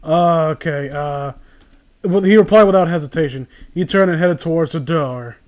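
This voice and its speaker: A man with a high voice hosts a casual comedic reading. He riffs sarcastically and swears freely, in an animated way. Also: moderate pace. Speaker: host reading fan fiction aloud. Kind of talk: casual comedic chat and riffing.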